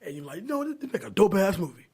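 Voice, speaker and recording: High-pitched man, slangy podcast talk. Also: big pitch swings, fast, a little mellow, breathy edge. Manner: slangy, frequent swearing. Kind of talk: casual chat.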